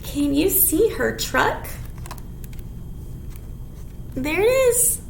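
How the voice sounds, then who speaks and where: High sing-song woman hosting children's storytime. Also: sing-song. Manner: sing-song, simple slow phrasing for small children. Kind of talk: children's storytime read-aloud with songs and rhymes. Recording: echoey room, clear background noise.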